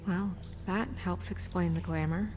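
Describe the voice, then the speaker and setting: An actress playing a poised, serious young woman official in a video game, speaking in an earnest, measured way. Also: on the low side, fairly even intonation, music in the background, close to an everyday voice. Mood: troubled.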